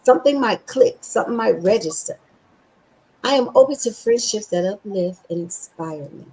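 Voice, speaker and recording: A woman hosting a podcast, with a scratchy voice, talking with excited emphasis and slowly repeating affirmations. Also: mid-range voice. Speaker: podcast host.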